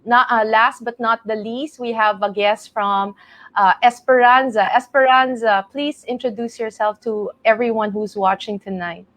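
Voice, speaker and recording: A woman's voice: on the high side, fairly even intonation, moderate pace, a bit bright, no room sound. A woman from the Philippines hosting an online panel talk, chatty and laughing easily. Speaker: host.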